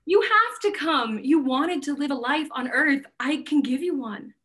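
A woman's voice: high-pitched, animated, a little slow, fairly bright tone. A young woman acting a smitten, blunt modern gallery owner in a staged reading, with a casual, gushing manner. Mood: urgent, insistent. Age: young adult. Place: indoor room at home, video call.

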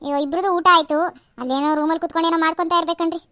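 A woman's voice: very high voice, steady tone, rapid-fire, sharp tone, no echo, studio quality. A woman voicing a polite homemaker wife speaking to her husband. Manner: polite, addresses husband respectfully.

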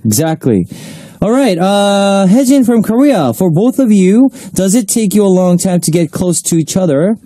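Young man hosting radio, mellow and dry. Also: sits high, big pitch swings, normal speed. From Australia. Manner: chatty, filler-heavy, echoes his co-host's words. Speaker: radio host. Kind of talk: casual radio chat. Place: radio studio.

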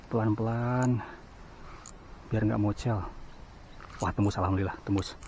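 A man vlogging while slingshot fishing on a riverbank, giving excited running commentary. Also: on the low side, unhurried, background noise. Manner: excited exclamations and frequent thanks to God. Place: outdoors on a riverbank in hot sun. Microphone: head-mounted action camera.

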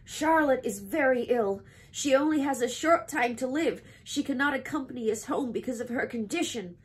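A woman's voice: high voice, moderate pace, lightly acted. A woman voicing a young male pig in a children's storybook, an urgent, emotional and devoted friend who pleads in exclamations. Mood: frantic, pleading.

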